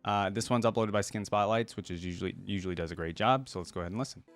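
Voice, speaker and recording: A man's voice: fairly low pitch, racing, soft background music. A man hosting a reaction video, chatting informally to his viewers in casual commentary.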